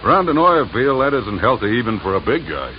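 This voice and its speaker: An actor playing a gruff, world-weary oil field operator in a 1950s radio drama, terse and halting. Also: male voice, mid-range voice, very animated, close to an everyday voice. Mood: cynical, resentful. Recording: muffled vintage radio, noisy background.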